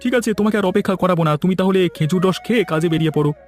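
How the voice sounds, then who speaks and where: A man's voice: high-pitched, racing, darkish tone, soft background music, natural voice. A man playing a friendly, proud village palm-sap tapper in a cartoon, with a folksy manner. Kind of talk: cartoon dialogue.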